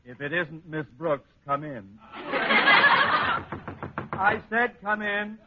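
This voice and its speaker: A man's voice: a little high, animated, takes it slowly. A man acting a pompous, irascible middle-aged school principal with blustering delivery in a 1940s radio comedy. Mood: seething, exasperated. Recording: dull, very noisy 1940s radio recording.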